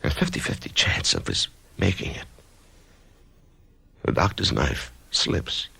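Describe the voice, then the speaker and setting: Dazed writer in a radio play, super deep gravelly voice, slow and stammery. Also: male voice, very animated, full character voice. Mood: weak, wondering. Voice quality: gravelly, hushed. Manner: halting, stammering when shaken. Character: dazed male writer waking from surgery. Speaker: narrator and lead character. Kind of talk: radio drama acting.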